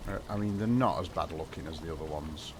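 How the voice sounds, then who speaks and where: A man live-streaming a building game, chatting casually with viewers and croaky from a cold. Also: very deep voice, fast talker, noisy background. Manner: rambling, self-deprecating, chats with viewers.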